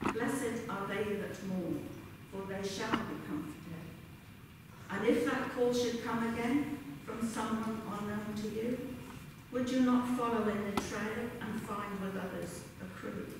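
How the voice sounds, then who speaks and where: A woman's voice: mid-range voice, fairly even intonation. Older woman reciting in a very echoey hall. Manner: earnest, storytelling, measured rhyming cadence. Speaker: reader at a remembrance service. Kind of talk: poetry recitation. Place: large indoor hall with a congregation. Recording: very echoey hall, distant mic, background noise.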